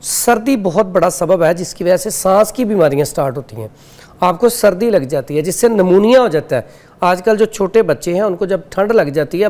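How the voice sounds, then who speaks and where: A man's voice: high-pitched, a little mellow. A man from Pakistan, a studio guest giving herbal health advice, earnest and instructive, going step by step. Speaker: herbal remedy expert guest.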